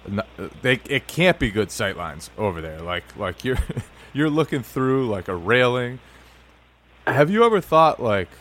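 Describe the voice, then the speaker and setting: A young man hosting a sports podcast, chatting informally and haltingly with lots of slangy asides. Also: mid-range voice, sing-song, fairly bright tone, a little hiss or hum. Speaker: podcast host, sports reporter. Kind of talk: casual chat interview.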